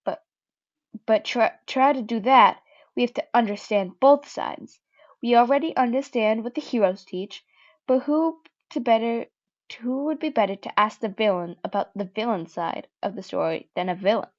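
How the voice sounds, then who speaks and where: A young woman voicing a persuasive, sharp-tongued male student in a fan-fiction audiobook, speaking louder and insistently. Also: fairly high pitch, moderate pace, highs cut off, natural voice.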